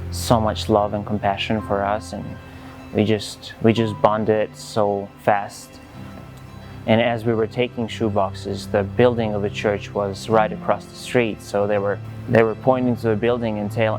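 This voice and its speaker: A young man from Kosovo with an Albanian accent, telling his personal church testimony in an earnest, halting way. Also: fairly low pitch, low-quality recording. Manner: earnest, halting, many fillers and restarts. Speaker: church leader giving testimony. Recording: music playing behind.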